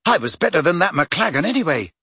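A man voicing a cocky, excitable teenage boy in a storybook, boastful, chatty and pleased with himself. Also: high voice, measured pace, gravelly, no room sound, studio quality, pitched above the performer's own voice, full character voice. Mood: boastful, happy.

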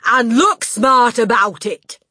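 A woman voicing a brutal, tyrannical female headmistress who shouts slowly and booms. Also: no room sound, studio quality, heavily acted. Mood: barking. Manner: shouting, slow and booming.

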